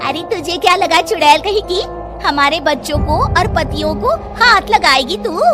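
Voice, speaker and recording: Woman as very high-voiced cartoon village mother. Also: moderate pace, music in the background. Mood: defiant. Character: worried but bold village mother in a cartoon. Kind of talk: cartoon story acting.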